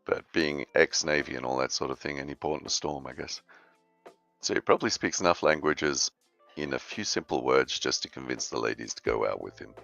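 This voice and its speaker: A man with a very deep, gravelly voice chatting on a game development stream, explaining things in a dry, wry way. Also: a little fast, a bit bright, highs cut off, soft background music. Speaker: co-host on a game dev stream. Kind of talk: casual chat.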